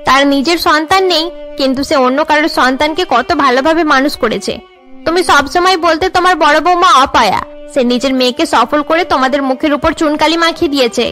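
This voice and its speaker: A nosy cartoon neighbour, blunt and chiding. She speaks in a high voice over clear background music. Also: fairly quick, a bit bright. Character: gossipy, blunt neighbour woman giving advice. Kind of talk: cartoon family melodrama acting.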